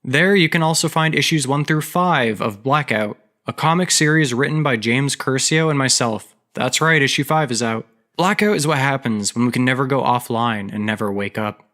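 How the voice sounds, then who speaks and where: A man hosting his own podcast, narrating a story and giving announcements in a steady, measured way. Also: medium pitch. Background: background music.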